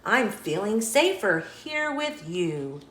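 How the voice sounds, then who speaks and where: A woman voicing a gentle, polite cow from a children's storybook. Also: mid-range voice, big pitch swings, slow, reverberant. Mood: hopeful.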